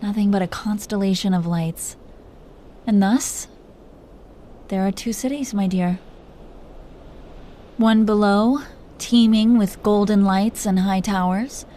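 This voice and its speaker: A protective dragon queen, played by a woman, speaks to her lover in a mid-pitched voice. She is doting and playfully teasing, in the intimate style of roleplay audio. Faint ambient noise sits behind her. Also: slow, long pauses, mellow, lightly acted. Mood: wistful, affectionate. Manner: tender, playfully teasing, breathy whispers at times.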